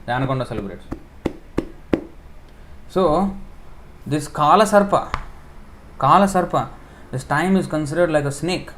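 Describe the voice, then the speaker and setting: A man giving a sermon in an informal style, explaining with everyday examples, pausing with fillers and correcting himself as he thinks aloud. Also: on the high side, expressive, a bit bright, slight background noise. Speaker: preacher giving a scripture class.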